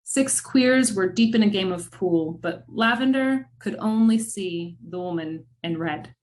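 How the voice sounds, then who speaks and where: A woman narrating a scripted film-noir radio drama in measured, dramatic storytelling with wry asides. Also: mid-range voice, expressive, a little mellow.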